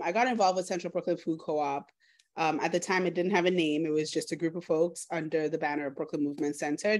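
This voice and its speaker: A woman in her thirties from Trinidad, speaking informally about her own experience on a video call from home. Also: low-pitched. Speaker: food justice organizer. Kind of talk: personal talk in an online workshop. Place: living room at home, indoors. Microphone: laptop video call.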